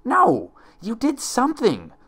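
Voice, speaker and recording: A man's voice: very high-pitched, wildly swooping pitch, slow, bright, forceful tone, pitched above the performer's own voice, exaggerated voice. A man voicing a frightened, straining young female unicorn pony in a story reading. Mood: defiant panic. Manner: straining, gasping, pleading.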